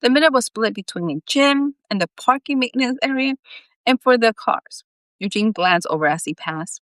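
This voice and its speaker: A woman's voice: medium pitch, fairly bright tone, no room sound, poor audio. Woman reading a story aloud, dry close sound. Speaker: author reading her own story on a live video. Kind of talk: story reading with character dialogue and casual asides.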